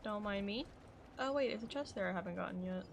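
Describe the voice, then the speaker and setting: A woman's voice: medium pitch, fast, gravelly, background noise. A woman streaming a game with casual live commentary.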